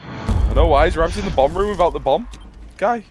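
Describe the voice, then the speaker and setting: A young man with a Yorkshire accent, swearing and laughing loudly as he chats while gaming. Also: a little high, brisk, a little hiss or hum. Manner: loud, swearing, bursts of laughter. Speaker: gamer. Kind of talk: casual gaming chat.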